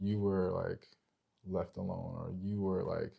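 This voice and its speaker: Deep voiced young guy, creaky and pretty monotone, podcast chat, explains stuff step by step. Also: measured pace, dull audio. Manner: conversational, explains step by step, seeks agreement. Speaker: podcast co-host. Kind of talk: casual relationship discussion.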